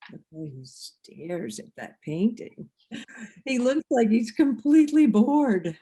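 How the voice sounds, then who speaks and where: A woman's voice: a little high, very animated, warm tone, husky, exaggerated voice. An actress playing an anxious, witty woman who overthinks every word with dry asides, in a stage comedy. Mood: wry. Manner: wry, overthinking, quick asides. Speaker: actor in a one-act play. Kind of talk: stage comedy inner monologue. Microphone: video call.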